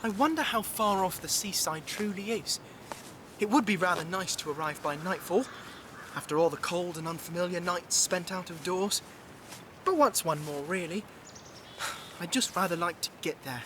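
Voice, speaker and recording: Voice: mid-range voice, moderate pace, a bit bright, slight background noise, lightly acted. A performer playing an earnest young male pine marten adventurer with polite, genteel, old-fashioned turns of phrase. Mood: musing, wistful. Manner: genteel, old-fashioned British phrasing, stammers when flustered. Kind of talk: classic storybook audio drama acting.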